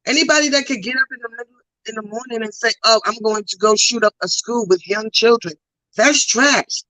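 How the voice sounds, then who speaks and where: A woman's voice: fairly high pitch, brisk, sharp tone, dry recording, low-quality recording. A woman comedian from Trinidad with a thick Trinidadian accent tinged with New York, chatting bluntly and emphatically on a podcast. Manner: blunt, emphatic, repeats points. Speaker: comedian guest. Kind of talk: casual podcast chat.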